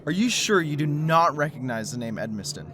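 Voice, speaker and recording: A man's voice: on the high side, big pitch swings, fairly quick, noisy background. An actor playing an exhausted male conspiracy theorist who stammers, laughs nervously and refuses to back down. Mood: explaining. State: exhausted from lack of sleep. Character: sleepless male conspiracy theorist, stubborn, principled. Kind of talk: audio drama acting.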